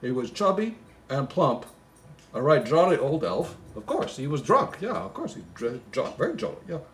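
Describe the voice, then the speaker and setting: A man's voice: on the high side, very animated, moderate pace. A man playing a goofy, baffled storybook reader who mixes poem recital with slangy, mock-indignant muttered asides. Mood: bemused. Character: Floyd, goofy, baffled, easily sidetracked male storybook reader. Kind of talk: comic poem reading with rambling asides.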